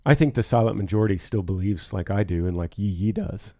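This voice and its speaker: A man's voice: on the low side, brisk, dark tone. A man co-hosting a podcast, talking in an earnest, emphatic and reflective way. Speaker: podcast co-host. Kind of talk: casual podcast talk.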